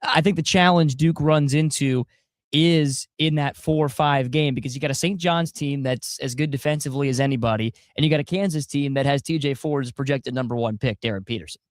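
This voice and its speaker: A young adult man filling in as a sports talk radio host, energetic and quick with follow-up questions on a studio mic. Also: medium pitch, no echo. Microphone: studio broadcast mic.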